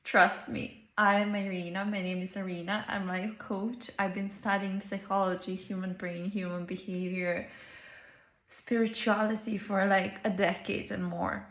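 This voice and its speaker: A woman with a Serbian accent, a Serbian native speaker, speaks slowly and earnestly to the camera, drawing out her phrases. Her grammar is simple and non-native. Her voice rings in a roomy, echoing space. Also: mid-range voice, level tone. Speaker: life coach. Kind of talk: reflective monologue to camera.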